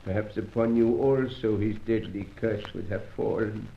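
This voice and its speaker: A man's voice: lowish voice, steady tone, normal speed, creaky, slight background noise. An actor playing a dying, blind old scientist in a radio adventure serial, mournful, remorseful and formal in his phrasing. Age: older. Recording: dull, echoey room.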